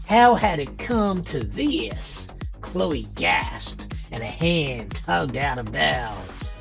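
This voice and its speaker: Slow, creaky horror narrator, male. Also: fairly high pitch, sing-song. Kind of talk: dramatic scripted horror narration. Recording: music underneath.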